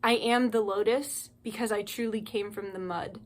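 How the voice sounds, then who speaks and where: A woman's voice: medium pitch, steady tone, moderate pace. A young woman delivering a heated, profanity-laced monologue straight to her viewers, ranting and pleading. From United States. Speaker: spiritual channel host. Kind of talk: impassioned monologue to camera.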